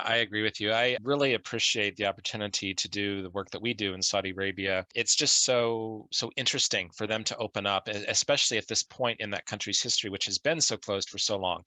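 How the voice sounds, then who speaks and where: A man's voice: lowish voice, sharp tone, dry recording, poor audio. A man hosting an interview podcast, talking in a friendly, conversational way with frequent restarts. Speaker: podcast host.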